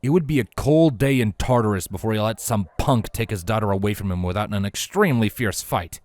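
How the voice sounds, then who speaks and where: Low-voiced man narrating a story. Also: a little fast, darkish tone. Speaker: audiobook-style narrator.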